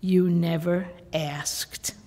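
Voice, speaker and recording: A woman reading aloud gives voice to a proud young wife of the desert, calm and clipped, never raising her voice in defiance. Her words ring out with a long echo through a large hall. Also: lowish voice, very slow. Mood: cool.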